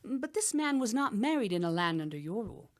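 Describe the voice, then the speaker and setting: A woman's voice: mid-range voice, very animated. An actress playing a clever, composed queen, deferential and soothing as she spins tales in an audio drama. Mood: artful storytelling. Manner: soothing, deferential, measured storytelling. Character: composed, clever young queen spinning tales to calm her lord.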